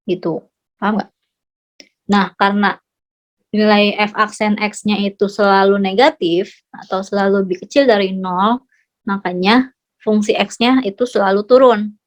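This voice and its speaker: A woman's voice: medium pitch, steady tone, measured pace, long pauses. A woman tutoring math in a casual, chatty way, often asking whether her students follow. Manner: chatty, checks understanding often. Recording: slightly dull lo-fi audio.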